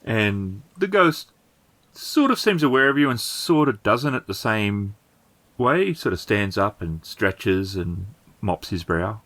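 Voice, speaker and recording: A man narrating a tabletop game as game master, improvising in halting phrases with pauses. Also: lowish voice, lively intonation, a bit bright, no echo.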